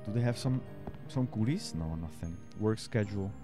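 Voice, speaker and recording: A German-accented man in his thirties streams a horror game, chatting and thinking out loud into a headset mic in a small room at home. His voice sits fairly low. Faint music plays under his talk. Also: moderate pace. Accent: German-accented English. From Austria. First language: Spanish. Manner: chatty, thinks aloud, reads game text, answers chat. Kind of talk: casual let's-play commentary. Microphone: headset mic at a desk.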